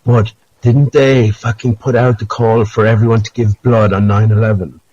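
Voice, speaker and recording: A man's voice: on the low side, level tone, moderate pace, a little mellow, no room sound. A man calling in to chat casually, hesitant and digressive with a conspiratorial bent, on lo-fi call audio. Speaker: caller.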